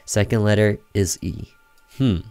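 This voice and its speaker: Male let's player, low register, casual commentary and story read-alouds, music underneath. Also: a little slow, warm tone, clear, resonant tone. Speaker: gamer doing a let's play, reading game text aloud.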